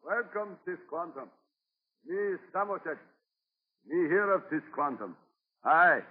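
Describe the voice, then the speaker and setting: Slow, halting Native man, husky. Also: a little high, animated. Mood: guarded welcome. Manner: halting broken English in clipped phrases. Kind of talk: 1940s radio drama acting. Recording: tinny, narrow-band 1940s radio broadcast.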